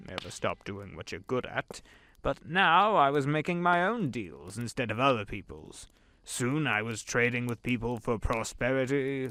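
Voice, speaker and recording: A man voicing the Devil as a sly, smooth-talking businessman in an audio drama, drawling and knowing. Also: mid-range voice, a bit bright. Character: the Devil posing as suave rich businessman, middle-aged man. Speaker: voice actor. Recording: with background music.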